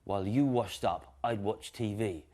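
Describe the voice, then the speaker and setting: A man's voice: on the low side, normal speed, dark tone, close to an everyday voice. Man reading as a playful, teasing storybook dad. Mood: musing. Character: playful, sleepy father in a picture-book story.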